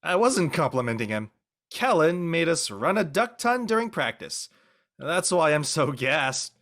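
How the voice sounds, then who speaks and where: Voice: medium pitch, very animated, measured pace, fairly bright tone. An actor playing a laid-back, goofy teenage boy athlete in a dramatic read, slangy and joking. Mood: teasing. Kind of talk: online dramatic table read.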